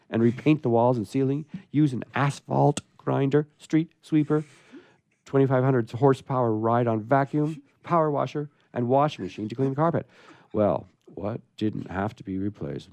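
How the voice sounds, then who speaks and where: A man's voice: medium pitch, dark tone, dry recording. Male host for live radio theatre: mid-range voice, playful, theatrical timing, very little room sound. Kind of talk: reading a humorous story and chatting on a live radio theatre show.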